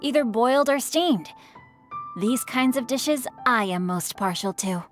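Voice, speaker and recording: A woman voicing a refined, courteous young character in a video game, with formal, flowery, measured speech. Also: fairly high pitch, expressive, faint music behind. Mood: courteous, poised. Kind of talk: game voice acting.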